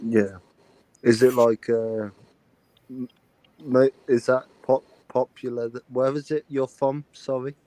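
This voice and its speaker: A man's voice: mid-range voice, fairly even intonation, takes it slowly, mellow, dry recording, poor audio. A man chatting on a stream, hesitant, stammering and restarting his questions. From United Kingdom. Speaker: stream guest. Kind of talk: casual live-stream chat.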